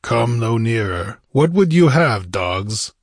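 A man playing a stern, fierce barbarian warrior in a fantasy audiobook, gruff, imperious and challenging. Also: mid-range voice, sing-song, takes it slowly, dry recording, clean studio sound, full character voice. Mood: commanding, threatening. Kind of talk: dramatic fantasy audiobook character.

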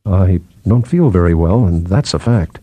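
A man reads as a weary newspaperman in a storybook tale. He is stubborn and a little defensive, with a dark, soft-edged tone. The recording is close and dry. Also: low-pitched, animated, normal speed, no room sound, pitched below the performer's own voice. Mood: indignant, shaken. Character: proud, weary male newspaperman, uneasy yet stubborn.

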